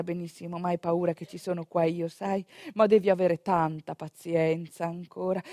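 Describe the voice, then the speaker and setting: An actress playing a patient village midwife in a stage monologue, coaxing and reassuring softly and tenderly. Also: female voice, fairly low pitch, dry recording, studio quality, close to an everyday voice. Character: patient, reassuring female village midwife soothing a labouring woman.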